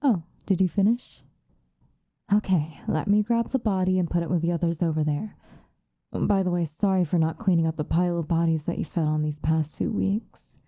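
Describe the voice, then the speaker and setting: Doting, menacing vampire lover, female performer: lowish, very mellow, soft whispery ASMR delivery, coaxing and condescending, close-miked. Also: dry recording. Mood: adoring, smug. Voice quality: soft, breathy, often dropping to a whisper. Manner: slow, coaxing, condescendingly affectionate. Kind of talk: ASMR roleplay monologue. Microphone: close microphone.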